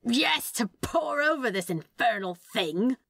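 A woman's voice: on the high side, expressive, measured pace, vocal fry, fairly bright tone, slight background noise, pitched above the performer's own voice, exaggerated voice. A woman voicing a snappy, exasperated, paranoid male demon in a storybook reading. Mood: alarmed, indignant. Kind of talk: storybook character voice in fan fiction reading.

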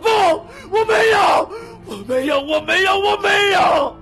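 A man's voice: extremely high pitch, animated, unhurried, vocal fry, raised voice, a loud crowd, voice pushed up, heavily acted. A man voicing an unstable, haunted young man who frantically denies his guilt in an audiobook, muttering and repeating himself. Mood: hysterical screaming. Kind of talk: audiobook character acting. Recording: dramatic music behind the voice.

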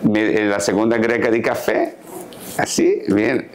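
A man in his sixties, a tailor, calmly explaining his daily routine in a sit-down interview, recorded on a lapel mic in a living room set. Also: fairly low pitch, a little slow. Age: 60s. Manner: calm, explanatory, gestures while talking. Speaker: guest, tailor. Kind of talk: casual interview talk.

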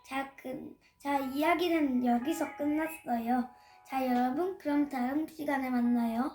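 An eight-year-old girl recites a children's story in a high voice. She goes slowly and deliberately, as if from memory. The room adds a clear echo. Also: fairly even intonation, darkish tone, soft background music. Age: child, 8 years old. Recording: roomy echo.

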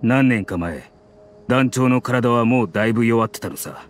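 A man doing a grizzled mercenary man, grave and measured, mid pitch. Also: soft background music, lightly acted. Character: grizzled male mercenary, grim and loyal. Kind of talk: Japanese game dub.

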